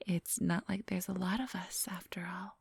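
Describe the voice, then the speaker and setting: A woman playing a centuries-old vampire boss in an ASMR roleplay, whispery and breathy, speaking close to the microphone in a silky, patronizing, quietly menacing manner. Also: fairly low pitch, fairly even intonation, no echo, exaggerated voice. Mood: guarded, smooth. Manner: slow, unhurried, silky and patronizing.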